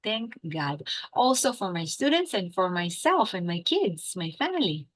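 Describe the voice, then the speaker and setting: Israeli woman guest, excitable and chatty, mid pitch, keeps restarting her sentences. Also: a little slow. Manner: animated, lots of fillers and restarts. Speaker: English teacher, guest. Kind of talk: casual interview chat.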